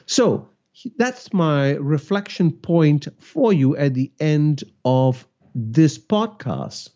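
A man from Malaysia talks through a solo podcast in an animated way, with his pitch rising and falling as he teaches. His voice is mellow and soft, and the recording sounds a little dull and lo-fi. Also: slow pace. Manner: animated, teaching tone with rhetorical questions. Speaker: cultural intelligence educator, podcast host. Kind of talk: reflective solo podcast monologue.

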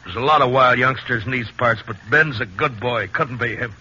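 A man's voice: mid-range voice, normal speed, sharp tone. An actor playing a middle-aged, reluctant Western sheriff and worried father, terse, grudging and rustic, in 1950s radio drama style. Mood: defensive. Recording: muffled, noisy 1950s radio recording.

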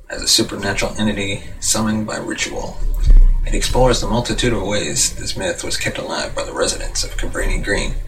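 A man hosting a movie show, reading scripted news and reviews in a slightly halting way. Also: lowish voice, gravelly. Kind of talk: scripted narration, reading box office news and a film review. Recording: noisy room, distant microphone.

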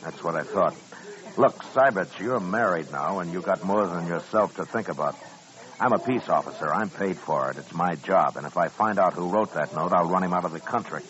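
An actor playing a calm, plain-spoken American frontier marshal with dry humor in a 1950s radio western. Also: male voice, sits low, moderate pace. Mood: firm. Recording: dull old radio recording, music, crowd.

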